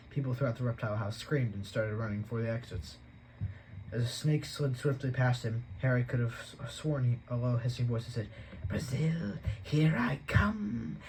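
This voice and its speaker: A man reading a novel aloud at a steady pace, with an occasional stumble he corrects. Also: medium pitch, slight background noise, poor audio. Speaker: audiobook reader.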